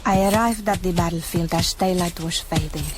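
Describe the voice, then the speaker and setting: Devout, anguished soldier's wife, played by an actress, music behind. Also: female voice, lowish voice, fairly even intonation, moderate pace, lightly acted. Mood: weary, sorrowful. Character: devout young Spanish officer's wife, devoted, searching a battlefield. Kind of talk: radio drama narration and dialogue.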